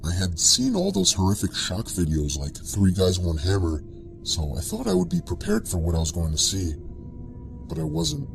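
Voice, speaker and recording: A man's voice: low voice, huge pitch swings, moderate pace, gravelly. A man reading a scripted first-person scary story as a narrator, with music playing behind him.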